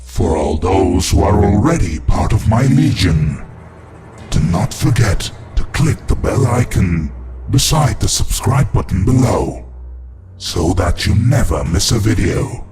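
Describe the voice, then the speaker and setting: A gloating, demented dark overlord acted by a man hosts a horror podcast. He speaks very slowly in a lowish, theatrical, sinister voice over clear music. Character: gloating demented evil master of dark creatures. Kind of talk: in-character horror host monologue.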